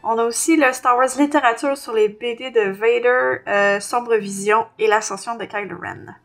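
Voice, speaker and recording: A woman's voice: fairly high pitch, steady tone, normal speed. A woman, co-hosting a casual podcast. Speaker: podcast co-host.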